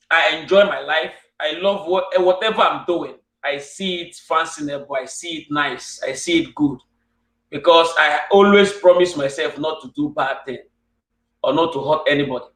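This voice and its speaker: A man with a West African accent hosts an interview in a fairly high voice. He speaks earnestly in declarative, repeated phrases. The sound is roomy and echoing, as if he sits some way from the microphone. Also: bright, forceful tone. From Cameroon. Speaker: interviewer and host. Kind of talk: earnest monologue and interview questions. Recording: distant, echoey room sound.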